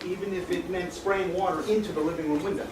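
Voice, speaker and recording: A man playing a weary, nostalgic man in his fifties, speaking deliberately and reflectively in a theatrical stage performance. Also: high voice, steady tone, close to an everyday voice. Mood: exasperated. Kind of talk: theatrical stage monologue and dialogue. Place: small indoor theatre stage. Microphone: far camera. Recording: echoey theatre stage, distant noisy camera mic.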